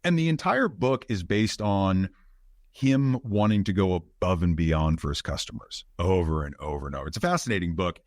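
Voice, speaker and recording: A man hosting a conversational interview, speaking in a reflective, articulate way with measured phrases. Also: sits low, lively intonation, dry recording, clean studio sound. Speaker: podcast host, interviewer.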